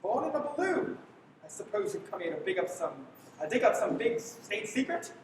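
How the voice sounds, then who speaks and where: A young woman playing a skeptical gentleman drawn into intrigue in a live radio play, wry and theatrical. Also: mid-range voice, big pitch swings, moderate pace, close to an everyday voice. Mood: wry disbelief. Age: young adult. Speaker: high school drama student acting. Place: live school performance, voice through a mask. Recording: echoey hall from far off, noisy livestream.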